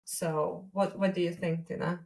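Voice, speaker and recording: A woman with a Hungarian accent in a reflective conversational discussion as a podcast co-host, speaking deliberately with pauses mid-sentence. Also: lowish voice, level tone, a little mellow, recorded from a distance. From Hungary, a small village.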